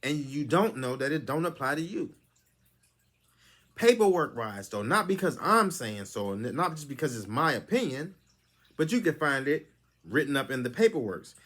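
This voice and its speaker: Male vlog host, somewhat high voice, preachy, lectures through rhetorical questions. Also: very animated, moderate pace, fairly bright tone. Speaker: channel host. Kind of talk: preachy monologue commentary.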